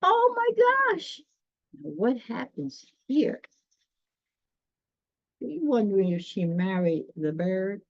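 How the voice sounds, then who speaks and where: Slow-paced woman storyteller, muffled sound. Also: mid-range voice, very animated, dry recording. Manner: unhurried, explanatory, addressing listeners directly. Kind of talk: read-aloud children's story with chatty asides.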